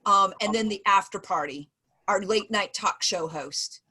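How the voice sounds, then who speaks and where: Woman, 40s, mid pitch, organized facilitator on a video call. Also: unhurried, bright, forceful tone, husky. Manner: organized, summarizing in numbered points. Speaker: program facilitator. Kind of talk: leading a video call meeting.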